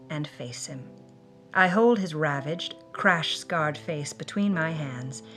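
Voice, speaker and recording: A woman playing a defiant, wounded 1950s film starlet, telling her story in the first person with intense, theatrical emotion for an audio drama. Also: medium pitch. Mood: dazed, intense. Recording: music behind the voice. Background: music.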